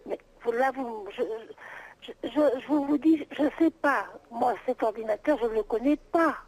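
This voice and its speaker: A woman's voice: fairly high pitch, measured pace, a little mellow. A middle-aged woman on an unscripted phone call, answering as a private seller, exasperated and insistent, repeating herself. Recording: noisy telephone call.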